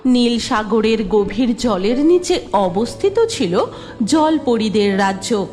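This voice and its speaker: A woman narrating a cartoon fairy tale in a measured storytelling way. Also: on the high side, animated, a little mellow.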